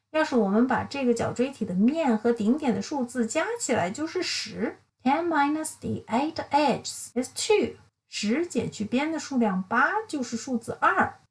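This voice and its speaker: A thoughtful young knight from a picture book, read by a woman, puzzling things out as he talks. The voice stays bright-toned and high, moving freely up and down. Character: young male knight Vertex, thoughtful and curious.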